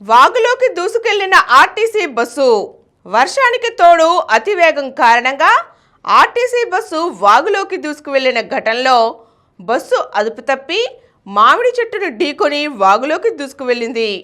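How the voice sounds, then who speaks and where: Bright, high-pitched woman reading news. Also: big pitch swings, normal speed. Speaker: news reader. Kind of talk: scripted news bulletin reading.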